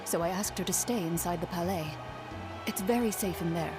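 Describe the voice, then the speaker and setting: A woman's voice: fairly low pitch, fairly quick, over music, lightly acted. A woman playing a polite, composed, considerate female official in a video game.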